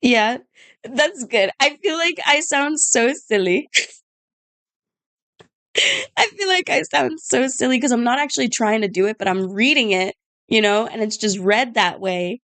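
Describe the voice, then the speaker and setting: A woman's voice: fairly high pitch, lively intonation, measured pace, fairly bright tone, no room sound. Chatty, self-mocking woman streamer. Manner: self-mocking, chatty, laughs at her own accents. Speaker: game streamer. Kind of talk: casual live-stream chat while gaming.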